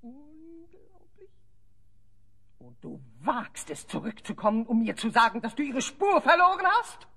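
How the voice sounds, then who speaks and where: A haughty lady ruler from a fantasy radio play. Her voice is roughened and swoops widely as she scolds and commands. She sounds theatrically indignant and used to being obeyed. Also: fairly high pitch, sing-song, measured pace, pushed and bright, heavily acted. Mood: scornful, outraged. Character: imperious, furious lady ruler of a misty forest fortress. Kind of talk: German audio play acting.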